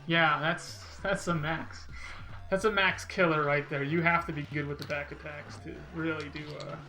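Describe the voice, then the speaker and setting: A man's voice: fairly high pitch, normal speed, pushed and bright, faint music behind, low-quality recording. A man streaming a video game, chatting casually with viewers and thinking aloud in a rambling, unsure way. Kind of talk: casual live-stream chat while playing.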